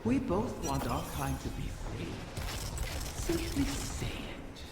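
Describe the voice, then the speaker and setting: Game creature: ancient female dragon, sly and menacing, highly animated pitch, echoey sound. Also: heavy background noise, full character voice. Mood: pleading, coaxing. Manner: slow, theatrical, swooping delivery. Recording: echoing, loud game music and effects behind.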